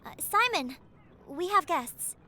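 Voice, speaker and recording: A woman playing a gentle, welcoming caretaker and old friend in an audio drama, soft-spoken and patient. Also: very high-pitched, expressive, slow, a little hiss or hum, exaggerated voice. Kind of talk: cinematic audio roleplay acting.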